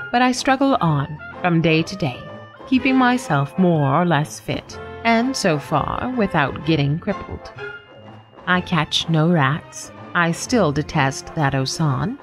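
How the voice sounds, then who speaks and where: Woman as a wry cat storyteller, evenly low-voiced. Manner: measured, formal, deadpan. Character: nameless house cat, wry, literary, observant storyteller. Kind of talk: scripted short story narration for radio. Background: music.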